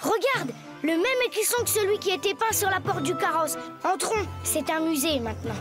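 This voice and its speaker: A woman's voice: very high voice, expressive, moderate pace. A woman voicing a spirited young boy who plays a gallant, theatrical musketeer in a cartoon. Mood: intrigued. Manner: theatrical, swaggering old-fashioned exclamations. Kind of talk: French animated cartoon dubbing. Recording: music behind the voice.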